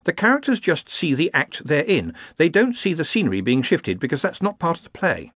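An eager, nerdy young wizard, voiced by a man, explaining with a raised, lively pitch. The sound is close and dry. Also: a little fast, husky, no room sound, exaggerated voice. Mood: earnest.

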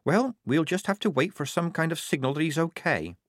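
Level-headed young female recruit, male narrator acting, practical, lifted pitch, dry recording. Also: animated, brisk, no echo. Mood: practical, cautious. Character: sensible young woman soldier, disguised as lad.

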